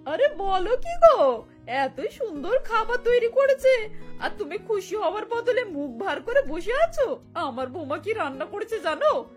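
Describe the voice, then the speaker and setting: A woman voicing a nosy, gossipy older neighbour in a cartoon, teasing and exclaiming. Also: very high-pitched. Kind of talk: Bengali cartoon voice acting. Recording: music bed behind the voice.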